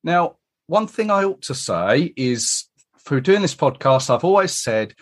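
A man hosting a podcast, greeting his guest and asking friendly, lively questions. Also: on the high side, a little slow. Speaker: podcast host. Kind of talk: conversational podcast interview.

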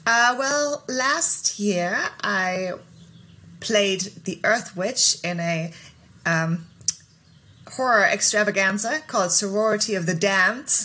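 A woman's voice: mid-range voice, takes it slowly, bright, forceful tone. A woman who grew up in Germany, chatting as an interview guest in a friendly way with small laughs. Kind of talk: casual interview chat.

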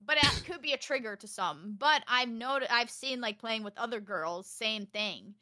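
Loud, high, bright young woman. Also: dry recording. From Texas. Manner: loud, fast, animated storytelling. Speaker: podcast guest, streamer. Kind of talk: casual podcast chat.

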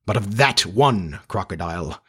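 A man voicing a brooding, vengeful pirate captain in a storybook reading, slow and dramatic in manner. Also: on the low side, expressive, fairly bright tone, husky, full character voice. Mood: brooding dread. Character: menacing, brooding pirate captain with a haunted dread.

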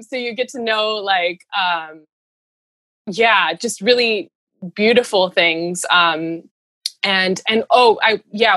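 A woman's voice: mid-range voice, a bit bright, no echo. A woman speaking casually as an interview guest, earnest and rambling, in a giggly conversational way. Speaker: interview guest, runner and storyteller.

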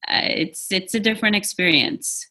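A woman's voice: medium pitch, sharp tone. A woman who immigrated from Poland and grew up in New York, earnestly talking through her identity as a podcast guest. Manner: thoughtful, earnest, measured. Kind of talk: casual interview chat.

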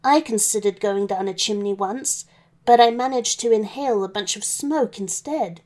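A woman's voice: mid-range voice, moderate pace, a little mellow, natural voice. A woman voicing a friendly, eager male wolf with a chatty, folksy manner in a children's story. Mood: hesitant, helpful.

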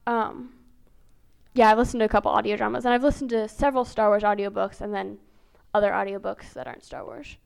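A woman's voice: mid-range voice, fairly even intonation, fast talker, vocal fry, smooth. A woman guest on a podcast, talking in a casual, conversational way. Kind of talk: casual chat. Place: in a library.

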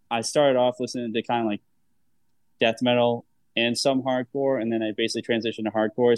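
A man's voice: medium pitch, no echo. A man in his thirties hosting a podcast in a casual, chatty style. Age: 30s. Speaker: podcast host.

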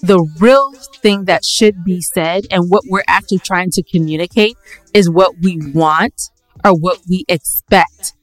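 A woman co-hosting a casual podcast, speaking in an emphatic, opinionated way and quick to interject. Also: lowish voice, steady tone, no room sound, faint music behind. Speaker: podcast co-host. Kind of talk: casual podcast debate with co-host.

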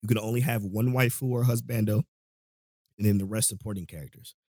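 A man's voice: fairly low pitch, steady tone, fairly quick, darkish tone, no room sound, clean studio sound. A man hosting a casual podcast, talking in a laid-back, rambling way with frequent laughs, his voice a little worn from a cold. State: voice going, catching a cold. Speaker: podcast host.

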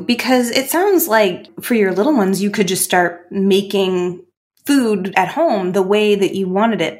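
A middle-aged woman hosting a podcast interview, enthusiastic and effusive about her guest. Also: mid-range voice. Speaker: podcast host. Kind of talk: interview conversation.

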